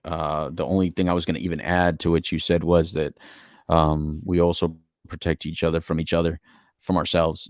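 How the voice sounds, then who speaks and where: Middle-aged American male podcast co-host, deep register, earnest, rambling and repetitive, close dry sound. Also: no room sound. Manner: earnest, rambling, repeats phrases for emphasis. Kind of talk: casual reflective relationship talk.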